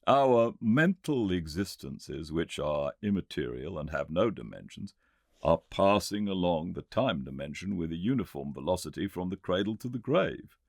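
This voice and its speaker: A man voicing a Victorian gentleman inventor with a British accent, lecturing eagerly and confidently in an emphatic audiobook performance. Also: sits low, sing-song, creaky, no room sound, clean studio sound, heavily acted. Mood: eager rebutting. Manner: emphatic, didactic, pauses to make points. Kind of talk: audiobook character dialogue.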